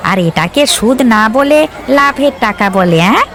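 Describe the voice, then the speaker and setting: A woman's voice: a little high, animated, normal speed, over music. A woman voicing a sly, greedy male village shopkeeper in a cartoon, in a wheedling manner. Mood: sly, insistent. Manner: wheedling, chuckling. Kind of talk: Bangla cartoon voice acting.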